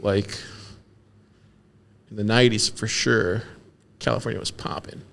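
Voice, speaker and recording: A man's voice: lowish voice, fairly even intonation, vocal fry, a bit bright. A man from Texas in a casual talk, asking questions and telling stories about growing up. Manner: chatty, questioning, drawn-out storytelling. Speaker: podcast co-host. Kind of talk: casual conversational discussion.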